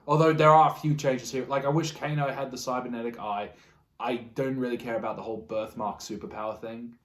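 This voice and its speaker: A man's voice: mid-range voice, fairly even intonation, fairly quick. A man co-hosting a podcast, chatting casually about films with friends in an echoey room. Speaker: podcast co-host.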